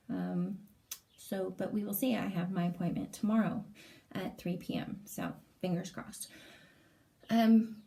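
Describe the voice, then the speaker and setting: Raspy-voiced woman vlogger, hesitant. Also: medium pitch, mellow. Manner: hesitant, many fillers. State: lingering cough, strained throat. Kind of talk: casual personal update vlog. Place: small bathroom indoors.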